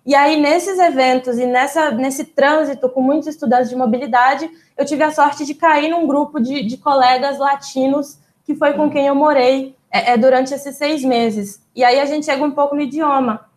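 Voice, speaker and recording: A young Brazilian woman, a doctoral student, answering interview questions in a relaxed, explanatory way, with frequent small fillers and restarts. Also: high voice, moderate pace, hardly any pauses. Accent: Brazilian Portuguese. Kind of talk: casual interview storytelling.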